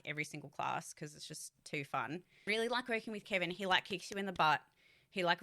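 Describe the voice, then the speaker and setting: A woman's voice: fairly low pitch, bright, forceful tone. A woman voice actor and streamer giving a casual vlog update, candid and rambling, swearing freely.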